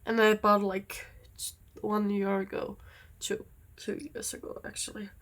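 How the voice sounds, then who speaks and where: Slow-talking young woman, rambling. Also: mid-range voice, completely flat delivery, a bit bright. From Denmark. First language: Danish. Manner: rambling, easily distracted, with many fillers. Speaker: vlogger. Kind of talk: casual chat while doing nails.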